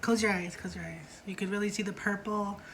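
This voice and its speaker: A woman's voice: medium pitch, steady tone, brisk, a bit bright. Chatty woman with noise behind. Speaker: vlog host reviewing a TV show. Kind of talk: casual chatty review.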